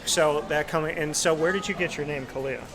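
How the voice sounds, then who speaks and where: A man hosting a casual live talk show, telling animated stories and asking a guest questions. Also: on the high side, brisk, rough recording. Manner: chatty storyteller, animated asides. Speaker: host. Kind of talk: casual interview chat. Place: busy restaurant. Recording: loud crowd chatter behind.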